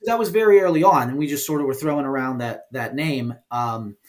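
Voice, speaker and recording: A man talking casually as an interview guest, explaining his work in an informal, halting way. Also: mid-range voice. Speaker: guest, indie game developer and producer.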